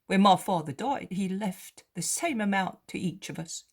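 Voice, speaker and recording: A man's voice: high-pitched, expressive, normal speed, husky, voice pushed up, heavily acted. A woman voicing a poor, humbled male beggar who pleads and repents. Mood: earnest. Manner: earnest, pleading.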